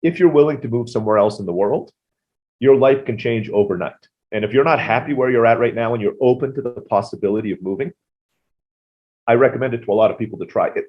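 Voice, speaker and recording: A man with an American accent, a podcast guest telling stories casually with lots of quick asides. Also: mid-range voice. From Philippines-born, raised in Turkey. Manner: rapid, chatty storyteller with asides. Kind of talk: casual interview storytelling. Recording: dull lo-fi audio.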